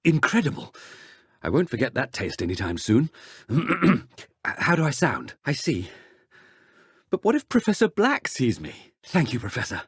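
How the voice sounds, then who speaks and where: Deep-shifted haughty wizard, as a woman puts him on. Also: wildly swooping pitch, unhurried, pauses often, husky, dry recording, studio quality, full character voice. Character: young witch disguised as a haughty wizard man. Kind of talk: video game dialogue.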